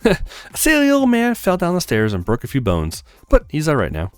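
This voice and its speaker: Man voicing a breezy young handywoman, swooping pitch. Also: wildly swooping pitch, normal speed, clear, resonant tone, no echo, soft background music. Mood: cheerful. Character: friendly, frank young female handywoman. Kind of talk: acted visual novel read-aloud.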